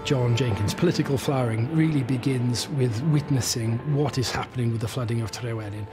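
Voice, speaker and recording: Male interviewee, mid-pitched voice, explains history as a story, some restarts, soft music underneath. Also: brisk. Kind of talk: documentary interview.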